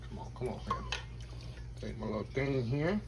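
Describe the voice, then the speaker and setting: A man, chatting to viewers as he cooks in his home kitchen, his voice slightly hoarse. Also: mid-range voice, lively intonation, moderate pace, very noisy. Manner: chatty, keeps addressing viewers as y'all. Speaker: home cook. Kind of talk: casual cooking demonstration.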